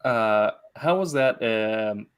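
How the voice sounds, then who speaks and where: A man hosting a casual interview, working his way haltingly through long, roundabout questions and comments. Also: fairly low pitch, expressive, clear, resonant tone. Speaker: interview host.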